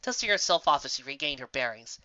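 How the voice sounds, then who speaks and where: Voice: medium pitch, big pitch swings, quick pace, pushed and bright, dry recording. A narrator reading a fan fiction story aloud as a dramatic audiobook, in a slightly dull, dry recording.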